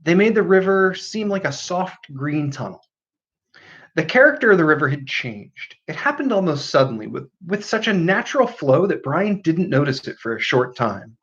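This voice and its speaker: Man, expressive audiobook narration, highly varied intonation, slightly high pitch, dramatic pauses, dull lo-fi sound. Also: slightly rough.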